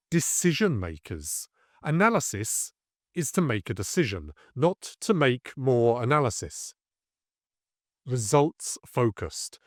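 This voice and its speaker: Man, career coach, scripted educational delivery, animated intonation, deliberate emphatic phrasing, dry recording. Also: mid-range voice, no room sound. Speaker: career coach presenting a lesson.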